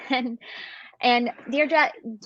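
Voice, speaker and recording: A woman's voice: fairly high pitch, steady tone, very slow, a bit bright, lo-fi sound, a little hiss or hum. A woman hosting a webinar interview, upbeat and affirming as she asks questions over a video call. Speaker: webinar host.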